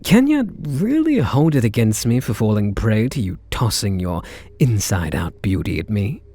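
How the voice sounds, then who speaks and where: A man's voice: lowish voice, very animated, warm tone, no echo. A man with a British accent playing a smitten kidnapper, a sweet-talking gangster, in an intimate ASMR roleplay. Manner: slow, hesitant sweet talk with pet names.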